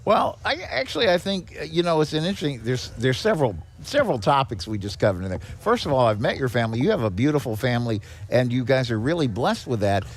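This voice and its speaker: A man speaking as a charity director and podcast guest, explaining things in a measured, conversational way. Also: mid-range voice, big pitch swings.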